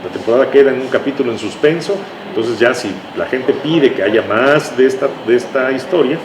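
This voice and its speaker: An older man with a Mexican accent, reminiscing conversationally as an interview guest, explaining things in a halting way and checking for agreement. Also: mid-range voice. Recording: echoey room with clear background noise.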